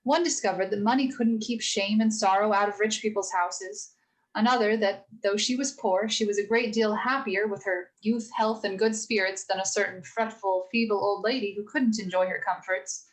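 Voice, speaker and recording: A woman playing a gentle, earnest mother of four girls, telling stories in a measured, sincere way for a radio play rehearsal. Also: mid-range voice, fairly even intonation, natural voice, rough recording. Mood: gently instructive. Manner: measured, sincere moral storytelling.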